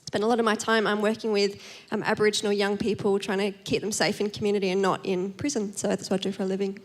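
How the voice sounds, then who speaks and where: A woman's voice: medium pitch, little pitch movement, fast. A woman speaking on a church panel in a cheerful, playful way. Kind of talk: panel conversation. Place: church stage at a live service.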